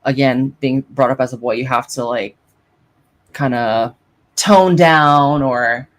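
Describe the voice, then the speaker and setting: A low-pitched woman talking as a guest in a relaxed interview. She speaks thoughtfully and hesitantly, with lots of fillers as she works out her point. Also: slow pace. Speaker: guest, drag performer. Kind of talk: casual interview chat.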